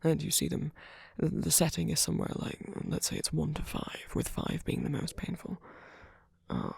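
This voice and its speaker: A man playing a sweet, gentle vampire boyfriend in an ASMR comfort roleplay, speaking haltingly in a breathy, husky whisper. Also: on the high side, huge pitch swings, dry recording, studio quality, heavily acted. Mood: gently musing.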